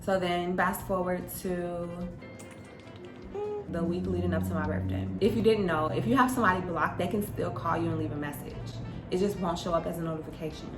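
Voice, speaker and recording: A woman's voice: fairly low pitch, a little fast, reverberant, music in the background. A young adult woman vlogger telling a personal story in a casual, conversational way, with emphasis when she quotes exchanges.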